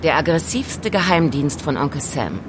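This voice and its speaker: A woman's voice: low voice, very animated, moderate pace, noisy background. A woman playing an elegant, composed young informant in an audio drama, explaining things in a teasing, didactic way. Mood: explaining, confident. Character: elegant, self-assured young woman, calm knowing informant. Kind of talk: German audio drama acting.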